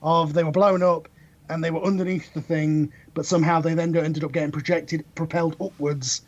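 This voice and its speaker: A man's voice: a little high, flat delivery, brisk, highs cut off. A man guesting on a podcast, talking casually and critically in a wry, sarcastic way.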